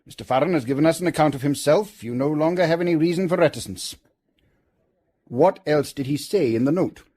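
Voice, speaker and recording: An actor playing an authoritative British official questioning suspects in a radio detective drama, formal, measured and insistent. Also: male voice, fairly high pitch, warm tone, lightly acted. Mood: probing, stern.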